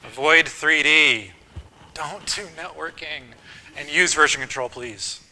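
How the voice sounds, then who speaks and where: A man teaching a university course, talking casually with frequent ums and quick lists. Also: a little high, sharp tone, low-quality recording. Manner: casual, list-making, filler ums. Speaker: course instructor. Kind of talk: university lecture.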